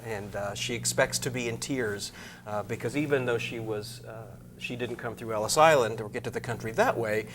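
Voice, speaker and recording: A man speaking as an interview guest and arts director, explaining things in measured phrases. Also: medium pitch, a bit bright. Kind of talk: interview talk about a concert program. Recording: clear background noise with hum.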